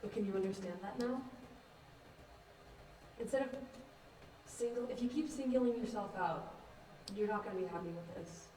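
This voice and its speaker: A woman's voice: medium pitch, moderate pace, pauses often, mellow, natural voice. A young woman in a student stage play, acting a frank, earnest teenage girl who bluntly reasons with others. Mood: blunt, reasoning. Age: young adult. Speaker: student actor in a one-act play. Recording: echoey, distant mic, clear noise.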